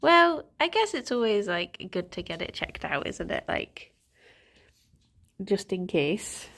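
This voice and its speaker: A woman's voice: medium pitch, very animated, slow pace, vocal fry, a bit bright. A young woman streaming from home, chatting casually and greeting viewers by name, a little congested with a stuffy nose. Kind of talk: casual morning chat with viewers.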